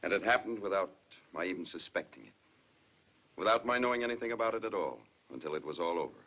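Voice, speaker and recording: A wounded, courteous husband in a 1950s screen drama. His voice is very low and husky, with phrasing that is restrained and halting yet moves up and down a lot. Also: expressive. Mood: pained. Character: troubled, hurt married father, reserved and courteous. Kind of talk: 1950s film drama acting. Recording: dull, lo-fi 1950s film soundtrack.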